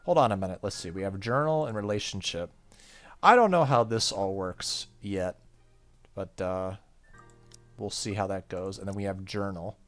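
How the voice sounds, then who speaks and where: Male gaming YouTuber, low register, reading story text aloud with offhand remarks, light music behind. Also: normal speed, a bit bright. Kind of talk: let's play, reading game text aloud with casual comments. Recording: faint game music behind voice.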